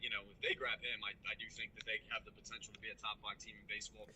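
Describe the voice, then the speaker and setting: A man in his twenties co-hosting a sports podcast, talking in an excitable, emphatic way. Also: on the low side, rapid-fire, a little hiss or hum, low-quality recording. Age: 20s. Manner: excitable, emphatic, says "dude" a lot. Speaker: podcast co-host. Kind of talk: casual sports chat.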